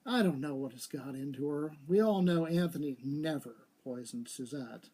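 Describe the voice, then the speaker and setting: A man's voice: fairly high pitch, moderate pace, mellow, husky. Man reading as a wheedling young society woman. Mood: miserable. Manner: coaxing, gushing. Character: wheedling younger female socialite, willful and dramatic.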